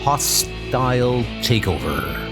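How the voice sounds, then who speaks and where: A man's voice: mid-range voice, big pitch swings, takes it slowly. A man reading scripted narration for a comic book dub, with music behind him.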